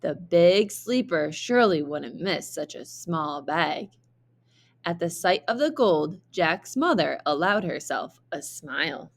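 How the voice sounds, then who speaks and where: A woman narrates a children's storybook in the manner of a teacher. Her voice is slightly low, and she reads very slowly and deliberately, with expressive emphasis. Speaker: teacher reading aloud.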